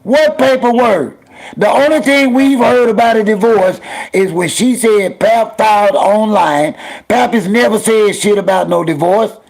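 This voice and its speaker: A man's voice: high voice, a little slow, gravelly, a little mellow. A man in his fifties hosting a call-in talk show, talking in an opinionated, emphatic way. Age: 50s.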